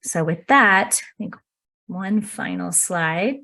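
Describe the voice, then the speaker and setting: A woman presenting on a video call, talking in a measured, earnest way with frequent "um" fillers. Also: lowish voice, animated, gravelly, pushed and bright. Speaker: workshop presenter. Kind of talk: webinar presentation. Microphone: computer mic on a video call.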